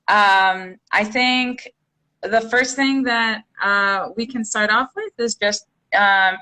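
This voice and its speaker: A woman's voice: mid-range voice, pushed and bright, poor audio. A young woman from Los Angeles hosts an interview, asking questions in a friendly, hesitant way with many fillers. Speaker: interview host. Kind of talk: casual hosted interview.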